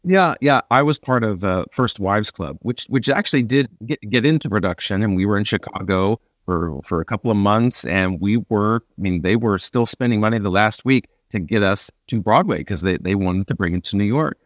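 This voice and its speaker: A man with a fairly low voice hosts an interview in a conversational style. He explains things for listeners, doubling back on words as he talks. The recording is dry and free of room sound. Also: expressive, normal speed, hardly any pauses, dry recording. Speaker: interview show host, stage performer.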